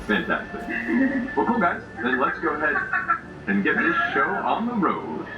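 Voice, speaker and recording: A man narrating a tabletop game as game master, in a formal, florid storytelling way with pauses. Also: fairly high pitch, huge pitch swings, muffled recording. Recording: roomy distant mic, clearly noisy background.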